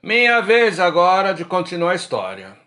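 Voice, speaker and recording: A man plays a middle-aged Brazilian drinker out with old friends. His voice is somewhat high for a man and moves up and down a lot. He is frank and colloquial, in radio drama style. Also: wildly swooping pitch, moderate pace, pushed and bright, exaggerated voice. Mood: regretful. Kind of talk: radio drama acting, casual bar chat.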